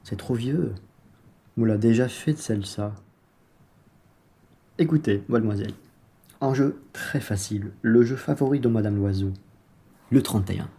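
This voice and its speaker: Dark, husky crafty merchant played by a man. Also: lowish voice, normal speed, pauses often. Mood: jovial. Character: crafty, familiar, self-serving merchant husband. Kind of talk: radio play acting.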